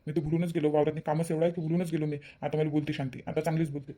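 A man's voice: fairly high pitch, level tone, racing, dark tone, natural voice. A man voicing a forgetful, henpecked village farmer husband in a comic cartoon, rustic and submissive, with a Varhadi Marathi accent. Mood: sheepish. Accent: Varhadi Marathi, Vidarbha. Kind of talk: amateur comic cartoon voice-over.

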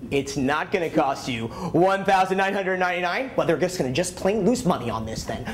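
A young man doing a stand-up comedy set on a club stage, mocking his subjects in an animated way and slipping into characters, speaking into a handheld mic. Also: sits high, a little hiss or hum. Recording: echoey club with laughing audience.

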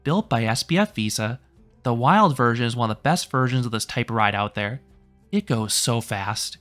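A man's voice: mid-range voice, expressive, normal speed, fairly bright tone. A man giving a scripted theme park review in a matter-of-fact, informative way, recorded as a voice-over. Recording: voice-over with faint music behind. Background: faint music.

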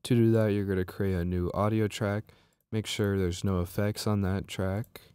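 A man narrating a software tutorial as a music production instructor, explaining step by step in an informal way. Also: on the low side, clean tone, no room sound.

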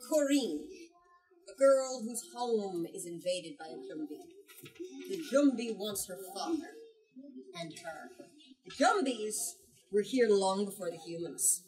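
A young woman leads a book talk, telling and reading a story to children. Her delivery is expressive and emphatic with a medium pitch, picked up from a short distance indoors. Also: a little slow, long pauses. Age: young adult. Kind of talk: storytelling and read-aloud to children. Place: small bookstore, indoors. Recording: distant from the microphone.

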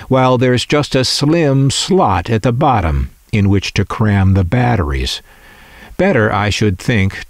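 An American man narrating a scripted documentary-style video as its host, with a wry, opinionated, measured manner. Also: fairly low pitch, no echo, studio quality. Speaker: host of a vintage radio collecting channel.

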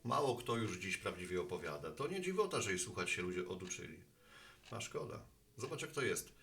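Man playing a bright-voiced, genial postman. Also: deep voice, sing-song, a little fast, gravelly, full character voice. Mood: thoughtful. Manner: colloquial, thoughtful. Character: genial male postman, a chatty homespun philosopher. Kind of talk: Polish audio drama dialogue.

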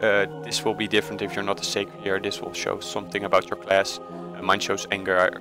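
A man's voice: lowish voice, monotone, a little fast, gravelly, music in the background. A man from the Netherlands with a Dutch accent, casually talking through a game tutorial with many hesitant fillers and self-corrections. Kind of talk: casual screen-recorded tutorial voice-over.

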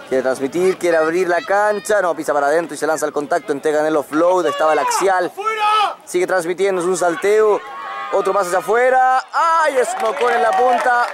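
A man with a Chilean Spanish accent giving live play-by-play commentary on a rugby match outdoors, quick and excited at the big moments. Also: noisy background. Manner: quick, excited calls of the action, pitch jumping up on big moments.

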